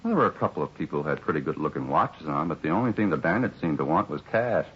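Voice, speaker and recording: Weary, low, husky-voiced American barman. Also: very animated, fast, full character voice. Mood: shaken, earnest. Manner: rambling, plainspoken, resigned. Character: weary, put-upon male bar employee, grumbling witness. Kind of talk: 1950s radio drama acting. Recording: muffled 1950s radio recording.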